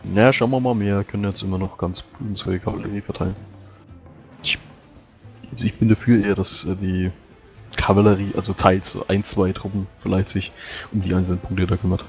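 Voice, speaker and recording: Male player, very low voice, hesitant, faint music underneath. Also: little pitch movement, fairly quick. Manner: hesitant, thinking aloud. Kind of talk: casual strategy discussion.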